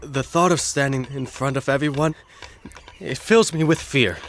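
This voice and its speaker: A man's voice: medium pitch, moderate pace, a little hiss or hum, natural voice. An actor playing an anxious young Taíno village boy who hopes to be a storyteller, earnest and self-doubting, in an audio drama. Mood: nervous.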